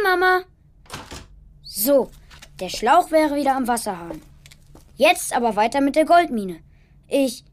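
A little boy in a children's audio drama. The voice is high and very lively in its melody, with an excitable temper. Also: heavily acted. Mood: cheerful, busy. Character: excitable little boy playing with toy figures.

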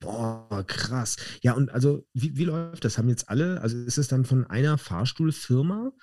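Ein Mann aus Hessen mit hessischem Akzent, Co-Host eines Podcasts, der im lockeren Gespräch nachfragt und mit trockenen Witzen reagiert. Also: male voice, mid-range voice, animated, darkish tone, no room sound, studio quality. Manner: quick reactions, joking interjections. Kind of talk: casual chat.

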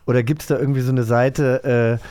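A man's voice: mid-range voice, steady tone, moderate pace, dark tone, clear, resonant tone. A man co-hosting a podcast, chatting casually in a teasing way. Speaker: podcast co-host and actor. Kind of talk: casual chat.

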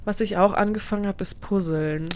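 A woman with a medium-pitched voice chats casually as a podcast co-host. She speaks in an informal, conversational way. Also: brisk, darkish tone. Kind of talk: casual chat.

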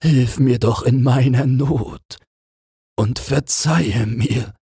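A man's voice: medium pitch, takes it slowly, dark tone, husky, no room sound, studio quality, pitched above the performer's own voice, heavily acted. A man voicing a humble, fearful miller and father in a fairy tale, earnest and pleading.

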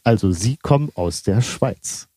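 A man's voice: lowish voice, animated, unhurried, dark tone, breathy edge, no room sound. A man hosting an interview podcast, asking friendly, chatty questions. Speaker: podcast host. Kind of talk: casual interview.

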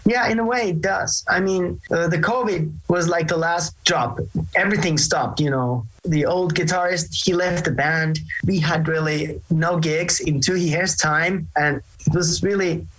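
A man from Finland with a Finnish accent, speaking English as a second language, giving relaxed, reflective interview answers with fillers and restarts. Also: on the high side, a little slow, low-quality recording. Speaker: band singer, interview guest.

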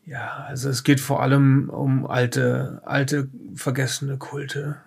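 Audio drama role: defensive, nervy academic of the occult, acted by a man, creaky voice, hesitant delivery. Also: medium pitch, flat delivery, exaggerated voice. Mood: guarded. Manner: hesitant, halting, testy. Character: tense, defensive male occult professor under police questioning. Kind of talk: improvised audio drama dialogue.

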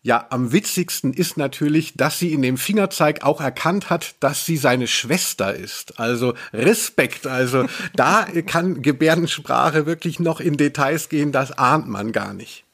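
A man's voice: a little high, lively intonation, measured pace, vocal fry, hardly any pauses, fairly bright tone. A man hosting a podcast, chatting casually and explaining things in a wry, rambling way. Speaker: podcast host, culture journalist. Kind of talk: casual podcast chat about audio dramas.

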